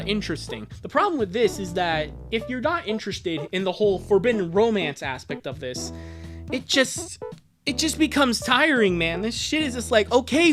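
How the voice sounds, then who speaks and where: A livestream host chatting casually and reacting to viewers in an exasperated, rambling, sweary way. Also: mid-range voice. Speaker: livestream host reading fan fiction aloud.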